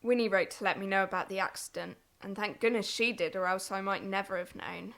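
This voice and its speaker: A woman's voice: mid-range voice, steady tone, brisk, gravelly, fairly bright tone. A woman playing a tart, reluctant daughter of a farm family in an audio drama, dry and guarded. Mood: cool, taken aback.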